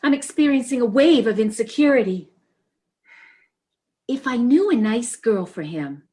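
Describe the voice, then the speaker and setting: Actress as fretful, neurotic wife for stage comedy; highish voice, lively swings, quick to get overwrought. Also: female voice. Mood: emotional, reproachful. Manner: fretful, emotional. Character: anxious, neurotic, sympathetic wife, easily overwrought. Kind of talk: stage comedy readers theater.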